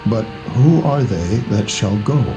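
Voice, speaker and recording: A man's voice: on the low side, expressive, unhurried, low-quality recording. Stubborn, menacing ancient king, emphatic commands, scripture drama over prominent music. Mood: scornful, commanding. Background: prominent background music.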